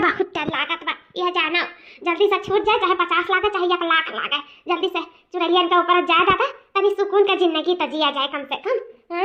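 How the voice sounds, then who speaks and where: Cartoon village mother, voiced by a woman: extremely high, chattering, fretful, eastern Uttar Pradesh accent, dull audio. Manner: chattering, repetitive, rustic. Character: excitable, fretful village mother and gossiping sister-in-law. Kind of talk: comic cartoon dialogue.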